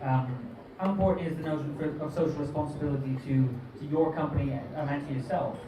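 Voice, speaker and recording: A man's voice: on the high side, fairly even intonation, fast talker. A man interviewing at an event, asking questions hesitantly and restarting words. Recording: echoey room, mic far off, background noise.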